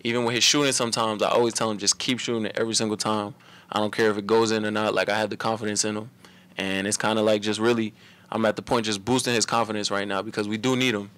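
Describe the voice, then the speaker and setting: A young man, a college basketball player, answering reporters after a game in a relaxed, run-on way at a table mic. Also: fairly low pitch, fast talker, clear, resonant tone. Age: young adult. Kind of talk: post-game press conference. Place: press room at a table before a logo backdrop.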